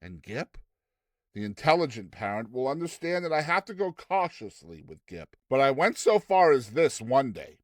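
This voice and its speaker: A man reading a classic story aloud as a theatrical, expressive narrator with deliberate delivery. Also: medium pitch, no echo, clean studio sound. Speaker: narrator, reader.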